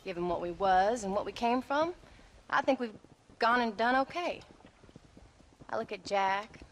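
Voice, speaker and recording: A woman's voice: mid-range voice, a little slow, slight background noise, lightly acted. An actress playing a sardonic but affectionate frontier wife and mother in a video game cutscene. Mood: tender teasing. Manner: dry, teasing.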